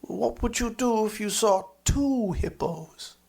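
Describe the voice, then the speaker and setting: An imaginative little boy, played by a man in a storybook reading, full of playful boasts and mischief. The voice is raised a little in pitch and sounds eager as he spins his schemes. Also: lively intonation, slow pace, gravelly, darkish tone, breathy edge, full character voice. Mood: playful bragging. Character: imaginative, boastful, mischievous small boys chattering together.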